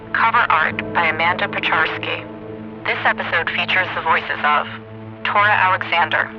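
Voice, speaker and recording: A man's voice: sits high, highs cut off. A man announcing podcast credits in a scripted, read-out style. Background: prominent music.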